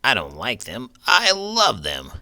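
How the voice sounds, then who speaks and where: Slow, sharp-edged old cowboy. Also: male voice, medium pitch, huge pitch swings, pitched above the performer's own voice, heavily acted. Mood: opinionated, enthusiastic. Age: older. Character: gruff food-loving old cowboy puppet sidekick. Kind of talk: comic banter on a children's read-aloud show.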